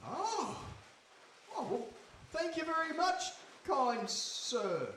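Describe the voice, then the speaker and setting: A man acts a henpecked radio-play fisherman, high in voice and unhurried. He is flustered and hesitant, forever placating. The sound is roomy, picked up from a distance in a hall. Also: big pitch swings, fairly bright tone, a little hiss or hum, full character voice. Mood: flustered gratitude. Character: meek, henpecked fisherman, reluctant husband. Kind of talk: live radio play acting. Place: hall stage before a live audience. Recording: echoey hall, distant microphone.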